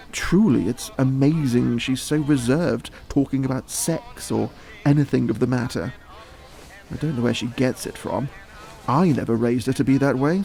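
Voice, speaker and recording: An actor playing a worldly, middle-aged father in an audio drama, smooth-talking, provocative and theatrical. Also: medium pitch, warm tone. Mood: probing, candid.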